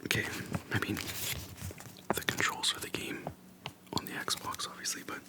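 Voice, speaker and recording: An ASMR host speaking in a soft, breathy whisper close to the microphone, chatting casually in a relaxed, halting way. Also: rough recording. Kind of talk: casual rambling game retrospective.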